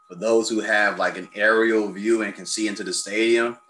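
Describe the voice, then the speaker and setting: Casting: American male host from Chicago, bright forceful voice, blunt casual talk, noisy recording with a hum. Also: fairly low pitch, fairly even intonation, normal speed. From South Side of Chicago, United States. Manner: blunt, informal, swears freely. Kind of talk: casual opinionated chat.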